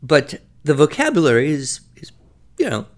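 A man's voice: fairly high pitch, huge pitch swings, moderate pace. A man co-hosting a podcast, telling stories from teaching in a reflective, conversational way. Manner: storytelling, reflective. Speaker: podcast co-host. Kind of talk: casual podcast discussion.

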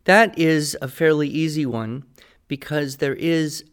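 A man's voice: on the high side, measured pace, clean tone. A man talking conversationally on a podcast as a teacher, playful and didactic, explaining things step by step. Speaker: writing teacher answering listener questions.

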